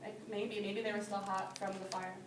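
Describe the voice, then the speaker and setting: A woman reading a picture book aloud to young children as their teacher, pausing to explain things in simple phrases. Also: mid-range voice, little pitch movement, a crowd in the background. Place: indoor classroom. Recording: roomy, distant microphone with background noise.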